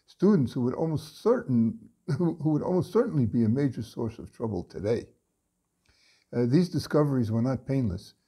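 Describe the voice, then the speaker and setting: Older man, gravelly dark storyteller voice. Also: mid-range voice. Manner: unhurried, with frequent "uh" and restarts. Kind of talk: reading a story aloud. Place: home study lined with bookshelves.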